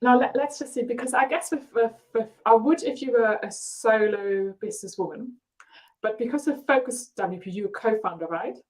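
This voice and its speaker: A woman's voice: fairly high pitch, moderate pace, low-quality recording. A woman from Germany with a German accent, a marketing coach chatting as a guest on a live stream, bubbly and enthusiastic.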